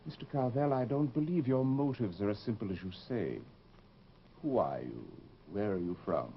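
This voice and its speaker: An actor playing a firm, polite plastic surgeon in an old-time radio horror drama, speaking in a formal, measured way. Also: male voice, medium pitch, lively intonation, gravelly. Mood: probing, concerned. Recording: muffled, noisy old radio recording.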